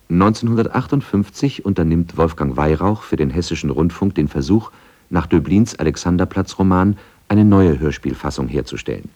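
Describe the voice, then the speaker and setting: Man, low-pitched, soft dark tone, measured, factual, scripted radio feature narration. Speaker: documentary narrator.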